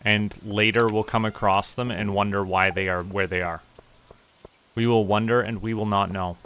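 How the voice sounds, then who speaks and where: A young man from Canada giving relaxed, musing commentary as he plays, often talking to himself. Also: on the low side, a little hiss or hum. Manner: slow, musing, talks to himself while playing. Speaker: VTuber streamer.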